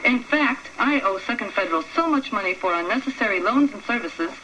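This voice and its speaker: A woman's voice: medium pitch, expressive, fairly quick, bright, forceful tone. A woman playing an earnest, cheerful bank customer in a spoof testimonial commercial, sincere and matter-of-fact. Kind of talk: parody testimonial ad read. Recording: dull lo-fi radio broadcast, faint noise.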